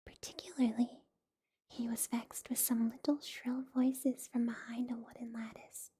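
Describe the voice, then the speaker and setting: A woman whispering a storybook aloud as a slow, gentle ASMR reading on a live stream. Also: on the high side, flat delivery.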